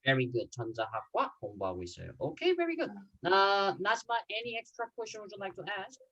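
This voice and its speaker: Man teaching online language class over video call, patient, lively intonation, lots of um and uh. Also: sits high, rapid-fire, muffled recording. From Korea. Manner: patient, explains with examples, frequent fillers and restarts. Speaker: Korean teacher. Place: home room, video call.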